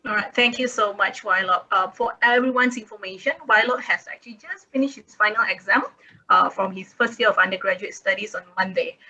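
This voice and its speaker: Bright-voiced woman moderating a webinar. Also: medium pitch, a little fast, highs cut off. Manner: polite, thankful, many fillers. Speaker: panel moderator.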